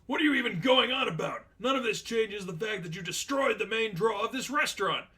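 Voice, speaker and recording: A man's voice: sits high, expressive, brisk, sharp tone, distant mic, voice pushed up. A man voicing a furious, blustering restaurant manager in a dramatized story reading, sputtering with indignation. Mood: indignant, exasperated. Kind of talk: dramatized fan fiction reading.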